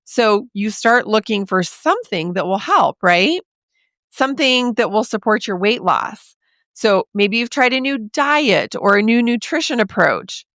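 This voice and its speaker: Woman in her forties, podcast host, slightly raspy, friendly direct address, dry close mic. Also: fairly high pitch, animated, a little slow, fairly bright tone, no room sound. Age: 40s. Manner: chatty and encouraging, speaks directly to the listener. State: slightly raspy from a sore throat. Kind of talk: casual podcast monologue.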